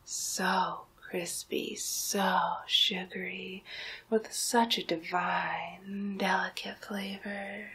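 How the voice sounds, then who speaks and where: A woman in her thirties playing a seductive, coaxing Halloween monster in a breathy whispered ASMR roleplay, drawing out her words close to the microphone. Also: fairly low pitch, a bit bright, heavily acted. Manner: slow, coaxing, drawn-out words.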